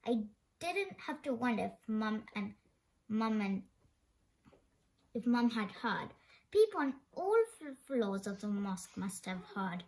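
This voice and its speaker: A woman's voice: fairly high pitch, sing-song, unhurried, darkish tone. A woman reading a children's story aloud expressively, now and then stumbling over a word. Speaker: storybook reader.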